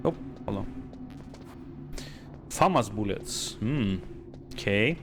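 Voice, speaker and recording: A man giving casual, halting live commentary on a video game, sounding sleepy and bored. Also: fairly low pitch, animated. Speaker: streamer playing a video game. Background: game music.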